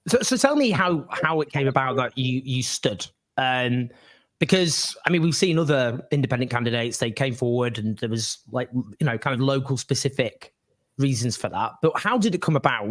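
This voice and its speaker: A man's voice: medium pitch, lively intonation, fairly quick, fairly bright tone. A man hosting a political interview, setting up his guest with emphatic lists of figures and frequent restarts. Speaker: interview host.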